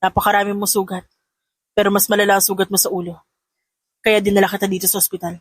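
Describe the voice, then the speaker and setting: Woman doing a calm, gentle male doctor, lowered pitch. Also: slightly rough, natural voice. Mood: calm, explaining. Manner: gentle, measured, reassuring.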